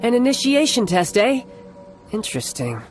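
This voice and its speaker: Cocky young fighter, animated delivery. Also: male voice, very high-pitched, moderate pace. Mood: confident, playful. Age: young adult. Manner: theatrical, smug, playfully condescending. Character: cocky, smooth-talking young male fighter posing as salesman. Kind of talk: video game voice acting. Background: game music.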